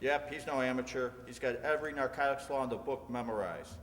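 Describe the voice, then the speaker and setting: A seasoned male narcotics inspector played by a man in a radio crime play. His voice is mid-pitched and knowing, short on words, with long hall reverb. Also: brisk, fairly bright tone, natural voice. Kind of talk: 1950s crime radio drama re-enactment.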